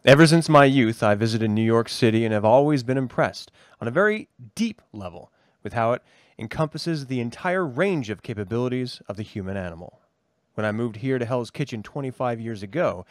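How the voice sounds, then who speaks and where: A man's voice: medium pitch, lively intonation, brisk, no echo. Man reading an essay, dry close sound. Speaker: co-host reading an essay aloud.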